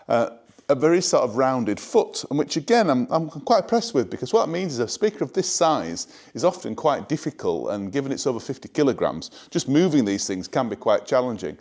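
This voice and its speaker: A man giving an informal, enthusiastic but measured product presentation as a showroom presenter. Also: medium pitch, darkish tone. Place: indoor hi-fi showroom.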